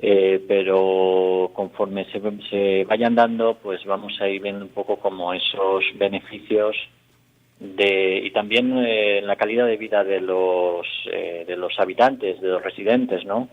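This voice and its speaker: A man's voice: low voice, fairly even intonation, a little slow. A man from Spain, an urban mobility expert, speaking by phone in a radio interview, explaining at length with many hesitations and fillers. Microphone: telephone. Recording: tinny phone line.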